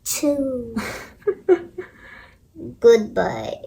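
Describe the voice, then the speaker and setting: A young girl voicing an anxious, melodramatic, sneezy elephant from a storybook, halting and dramatic. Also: a little high, expressive, creaky, dark tone, exaggerated voice, poor audio. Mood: sad, dramatic.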